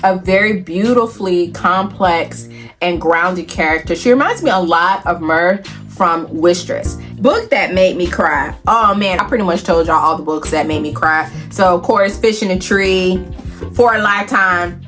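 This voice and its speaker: An American woman talking casually as a book vlogger, animated, emphatic and playful, with a folksy, familiar way of addressing viewers. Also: lowish voice, normal speed, faint music behind. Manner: animated, emphatic, playful, calls herself auntie; folksy, familiar address with y'all, honey, child. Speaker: book reviewer and video host. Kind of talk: casual, chatty book vlog.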